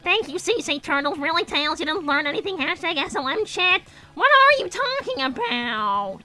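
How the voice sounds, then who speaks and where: A woman's voice: extremely high pitch, very animated, moderate pace, bright, forceful tone, soft background music, full character voice. A woman voicing a bratty, defensive young female hedgehog, indignant and sassy, in improvised livestream comedy. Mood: resentful. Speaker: character host reading viewer messages.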